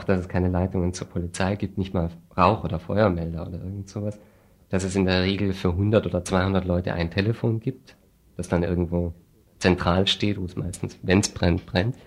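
A man, an activist being interviewed, explaining things deliberately in a critical, dryly sardonic manner. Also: low voice, a little mellow. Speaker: refugee aid activist, interview guest. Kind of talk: spontaneous explanatory interview talk.

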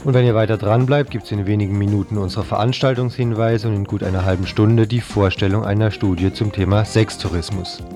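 A man's voice: fairly low pitch, fairly even intonation, brisk, few pauses, over music. A man presenting scripted news as a radio presenter. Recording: dry 1990s radio recording.

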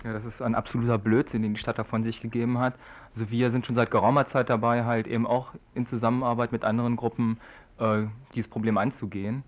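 A young man, a student activist, answering interview questions in a halting, wordy, colloquial way. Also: medium pitch, level tone. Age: young adult. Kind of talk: informal spoken interview answers. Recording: dull lo-fi radio recording.

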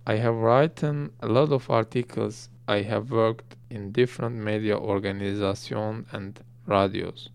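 A man interprets in a slow, deliberate way. His voice is gravelly with vocal fry, yet smooth and resonant. His pitch is middling. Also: steady tone. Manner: measured, deliberate delivery. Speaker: interpreter giving English summary. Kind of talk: translated summary.

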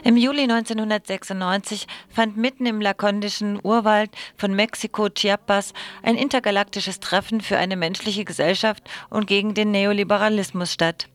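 A woman's voice: mid-range voice, steady tone, normal speed, hardly any pauses. A woman radio presenter reading scripted event announcements.

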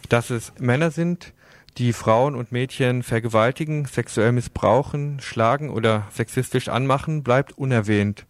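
A man's voice: mid-range voice, unhurried, no echo. A man delivering a scripted radio commentary in long, formal sentences with an earnest manner. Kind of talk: scripted opinion commentary, read out.

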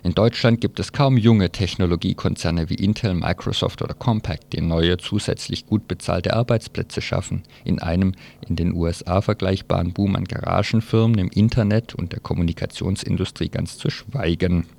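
Very deep, gravelly-voiced man presenting radio. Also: fairly even intonation, normal speed, hardly any pauses, a little mellow. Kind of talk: informal press review, reading newspapers aloud with own commentary. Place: radio studio.

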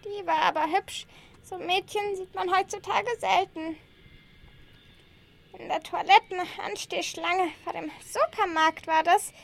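A woman's voice: extremely high pitch, steady tone, a little slow, creaky, exaggerated voice. A woman playing a lonely, caring old grandma, fussing and sighing in an amateur radio play. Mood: wistful, chatty. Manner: fussing, chatty, sighing, uses southern German dialect words.

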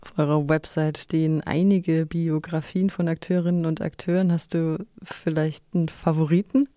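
A woman's voice: low-pitched, flat delivery, normal speed, warm tone, no room sound. A woman asking informal questions as a radio interviewer.

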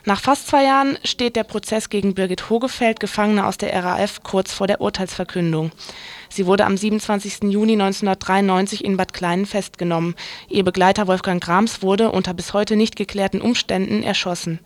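A woman's voice: mid-range voice, steady tone, brisk, no echo. Casual woman radio presenter, dry studio sound. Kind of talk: casual live programme announcement.

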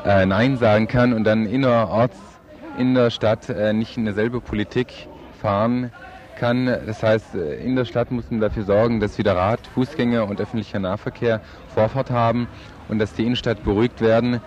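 A man's voice: lowish voice, steady tone, normal speed, a little mellow. Young man interviewed on a dull field recording. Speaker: student activist being interviewed. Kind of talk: spontaneous interview answers.

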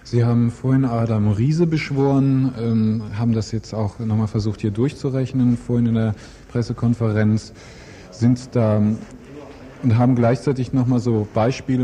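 A man's voice: on the low side, dark tone, a little hiss or hum. A man presenting an informal local news interview, phrasing his questions loosely and with some hesitation.

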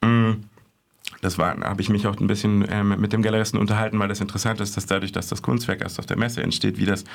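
Low-voiced hesitant male radio correspondent. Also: flat delivery, fast talker, gravelly. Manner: hesitant, many fillers and restarts. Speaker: art correspondent. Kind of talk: casual radio chat, reporting on art fairs.

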